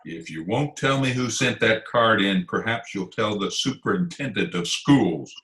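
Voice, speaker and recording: A man's voice: fairly low pitch, expressive, normal speed, a bit bright, low-quality recording. A man playing a pompous, easily angered middle-aged school principal in old-time radio comedy style, with theatrical, elaborate phrasing. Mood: stern. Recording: remote home recording over video call.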